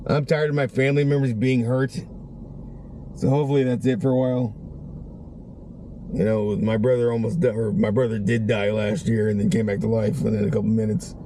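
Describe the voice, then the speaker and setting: Male vlogger, raspy strained voice, rambling casual talk, noisy car recording. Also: on the low side, level tone, brisk, dark tone. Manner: rambling, conversational, many restarts. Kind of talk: casual vlog chat.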